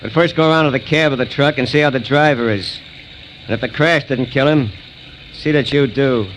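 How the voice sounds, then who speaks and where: An actor playing a callous, self-satisfied robbery gang leader in a 1940s radio crime drama. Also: male voice, medium pitch, brisk, natural voice. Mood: cold menace. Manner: gloating, callous. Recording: noisy 1940s radio recording.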